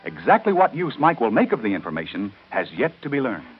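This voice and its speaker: A male soap opera narrator from 1930s radio, formal and dramatic. His pitch moves expressively. Faint music plays behind the dull old recording. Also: wildly swooping pitch, fast talker, husky.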